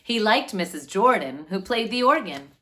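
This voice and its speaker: A woman reads a storybook aloud for young children. Her pitch moves up and down a great deal, giving the narration an animated, lilting, expressive feel. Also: moderate pace. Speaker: children's read-aloud host.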